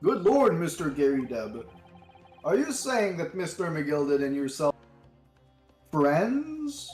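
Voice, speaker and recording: A man's voice: a little high, sing-song, slow pace, darkish tone, roomy sound, soft background music, voice pushed up. A man voicing an astonished, doddery old judge in a courtroom game, blustering in formal speech. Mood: shocked. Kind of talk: fan-dub courtroom game read-aloud.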